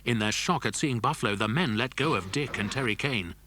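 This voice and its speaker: A man's voice: mid-range voice, a little fast, pushed and bright, a little hiss or hum. A man narrating a scripted children's adventure story. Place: dry studio.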